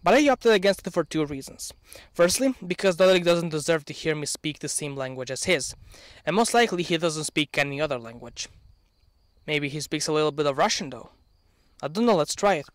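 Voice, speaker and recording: A man's voice: fairly high pitch, expressive, no room sound. Earnest young man. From Bosnia and Herzegovina. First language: Serbian. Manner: earnest, measured, occasionally sardonic. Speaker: channel owner. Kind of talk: prepared opinion speech. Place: outdoors in an open field. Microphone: handheld microphone.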